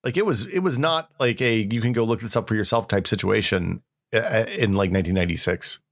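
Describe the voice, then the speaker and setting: A man's voice: on the low side, a little fast. A man in his forties co-hosting a podcast, chatting casually with a teasing, inquisitive manner. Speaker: podcast co-host. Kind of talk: casual chat.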